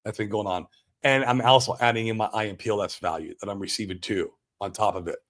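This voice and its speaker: A man's voice: on the low side, fairly even intonation, fast, a bit bright. An American man hosting a livestream, chatting casually and answering viewers in a slangy, exclamatory way. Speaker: livestream host. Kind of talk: casual chat, answering viewer comments live.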